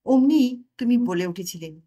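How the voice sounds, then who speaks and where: Woman playing an exasperated, sarcastic storybook protagonist, slow-paced. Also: on the high side, expressive, dark tone, husky. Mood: indignant. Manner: indignant, wry, argumentative. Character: exasperated, sarcastic narrator confronted by own conscience.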